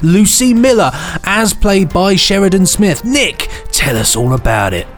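A man's voice: high voice, sing-song, unhurried, soft background music. Male podcast co-host reading out reviews. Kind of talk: scripted review reading with light banter.

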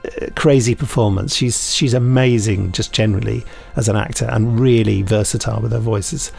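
A man's voice: lowish voice, fairly even intonation, moderate pace, gravelly, darkish tone, slightly rough, soft background music. A British man hosting a podcast, chatting casually with rambling, self-mocking, dryly jokey anecdotes. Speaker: podcast host and audio drama maker.

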